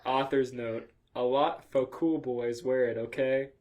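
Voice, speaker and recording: A man's voice: mid-range voice, steady tone, a little slow, darkish tone. A man hosting a comedy podcast, reading fan fiction aloud with casual, jokey banter and ready laughter.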